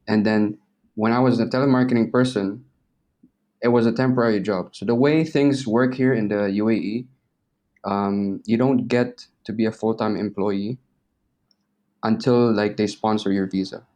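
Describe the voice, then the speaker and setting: Low-pitched young man, casual and slangy. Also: steady tone, normal speed, darkish tone. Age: 20s. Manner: informal, slangy, swearing. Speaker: interview guest. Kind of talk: casual interview chat.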